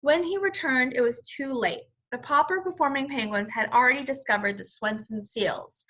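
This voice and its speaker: A woman reading a children's story aloud as a teacher, in an expressive, explanatory manner. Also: sits high, moderate pace. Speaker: first-grade teacher.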